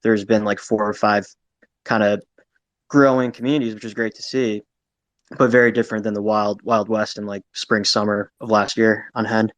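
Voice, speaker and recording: A man's voice: on the low side, little pitch movement, moderate pace, a bit bright, dry recording. A man giving his opinion informally and reflectively in a casual live audio chat. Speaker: panel participant.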